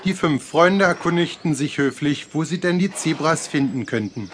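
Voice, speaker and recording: A man narrating a children's audio story in a measured, scripted storytelling style. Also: fairly high pitch, expressive, background noise, lightly acted.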